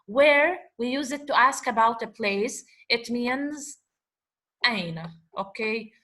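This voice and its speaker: A woman teaching an Arabic lesson over slides, explaining slowly and asking questions she then answers herself. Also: a little high, fairly bright tone. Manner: switches between English and Arabic words. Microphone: computer mic, voice-over on slides.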